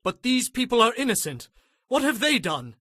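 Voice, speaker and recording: A man's voice: very high-pitched, sing-song, moderate pace, pushed and bright, no room sound, studio quality, heavily acted. A man playing an anguished, commanding but penitent king of ancient Israel in a dramatized scripture reading. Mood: remorseful, pleading.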